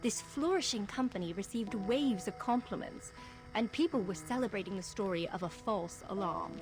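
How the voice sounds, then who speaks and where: An actress playing a polished, formal news presenter in a video game. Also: female voice, mid-range voice, normal speed, over music, close to an everyday voice, poor audio. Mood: formal, presentational. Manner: formal, announcer-like.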